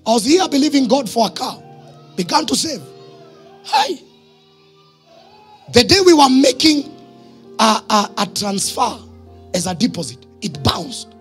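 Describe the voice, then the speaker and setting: A man in his thirties with a Kenyan accent, a pastor preaching emphatically in short phrases and questions to his congregation, husky, on a handheld microphone in church. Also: very high voice, sing-song, normal speed, fairly bright tone, soft background music. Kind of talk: sermon. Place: church stage, indoors.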